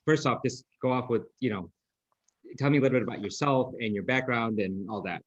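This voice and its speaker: A man's voice: mid-range voice, fairly quick, dry recording, dull audio. A man hosting a podcast, interviewing a guest in a friendly, casual way with plenty of fillers. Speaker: podcast host.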